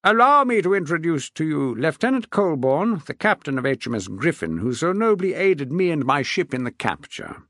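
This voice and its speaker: A man's voice: on the high side, very animated, a bit bright, dry recording, clean studio sound, voice pushed up. Man doing a worldly old sea captain. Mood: formal. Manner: brisk, knowing, formal. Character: seasoned male naval captain, worldly and self-flattering.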